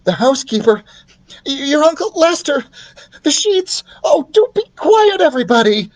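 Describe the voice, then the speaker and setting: A man's voice: very high-pitched, expressive, moderate pace, pitched above the performer's own voice. A man voicing a fretful, agitated mother mouse in a storybook, exclaiming in scattered, flustered phrases.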